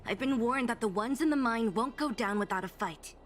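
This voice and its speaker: Woman voicing a high-pitched determined young fighter. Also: brisk, slight background noise, close to an everyday voice. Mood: determined, ready. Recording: music behind the voice.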